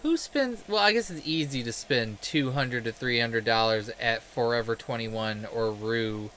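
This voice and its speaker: A man with a mid-pitched voice chats casually as he plays a game, wandering between stories and commentary. He swears freely and has a sarcastic, self-deprecating way of talking. There is clear noise behind his voice. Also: a little slow, fairly bright tone. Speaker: game streamer telling stories while playing.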